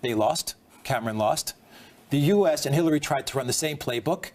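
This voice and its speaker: A man's voice: a little high, a little fast, darkish tone. A man arguing as a guest on a political panel, driving his point home with emphatic repetition.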